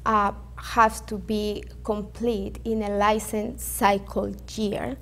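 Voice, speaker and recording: A woman from Venezuela with a Venezuelan Spanish accent, answering interview questions carefully and haltingly. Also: mid-range voice, level tone, a bit bright. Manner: careful, halting, with fillers and restarts. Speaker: real estate agent guest.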